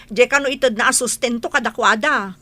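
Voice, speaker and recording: A woman's voice: a little high, a little fast, pushed and bright, no room sound. A woman playing a concerned, inquisitive young village daughter in a radio comedy drama, questioning and emphatic.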